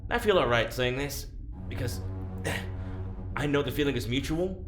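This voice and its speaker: A defiant fugitive in a sci-fi audio drama. The voice is medium-pitched and bright, with wry, halting delivery in an echoey space over faint music. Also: lively intonation. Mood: defiant, goading. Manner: dry, sardonic, halting. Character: sardonic, defiant fugitive on a spaceship, not quite a man.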